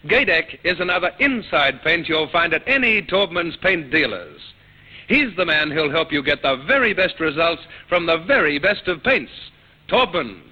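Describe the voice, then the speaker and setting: A man reading a scripted commercial as an announcer on old-time radio. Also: high-pitched. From Australia. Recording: dull, husky-sounding old radio recording.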